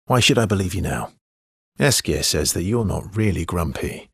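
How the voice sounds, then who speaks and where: A man voicing a wry, guarded adventurer with a soft heart in a video game, gravelly and halting, with a teasing way of talking. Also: low voice, animated, dry recording, studio quality, heavily acted. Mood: earnest. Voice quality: husky, gravelly. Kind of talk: naturalistic game dialogue acting.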